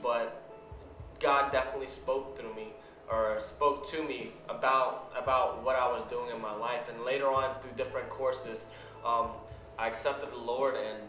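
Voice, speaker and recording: A young man from the Philippines giving an earnest personal testimony, halting and repeating words as he goes. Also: mid-range voice, steady tone. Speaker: church member telling his story. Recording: roomy sound with music behind.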